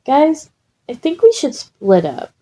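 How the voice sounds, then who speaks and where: Storybook role: nervous, earnest schoolboy ghost nerd played by a woman, lighter and higher, unhurried. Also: wildly swooping pitch, mellow, exaggerated voice. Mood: anxious, cautious. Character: nervous, earnest, studious teenage boy, eager ghost enthusiast.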